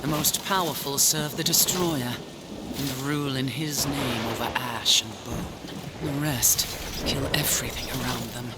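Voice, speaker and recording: A man's voice: mid-range voice, measured pace, heavy background noise, close to an everyday voice. A man playing a weary, solemn angel warrior of a fallen order in a video game cutscene, speaking formally and portentously. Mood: stern. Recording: loud music under the voice.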